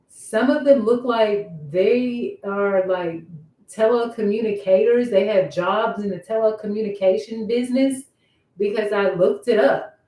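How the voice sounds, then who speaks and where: Woman, casual vlog talk, soft-edged mellow voice, repetitive questioning delivery, distant microphone. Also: a little high. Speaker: vlogger. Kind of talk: casual personal vlog monologue. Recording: roomy echo, distant microphone.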